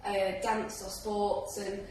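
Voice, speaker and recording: A woman's voice: medium pitch, level tone, slow, darkish tone. A woman guest who works in animal education, chatting enthusiastically and giggling often. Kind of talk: casual interview chat. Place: community lounge at a railway station. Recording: very echoey room, distant mic, faint noise.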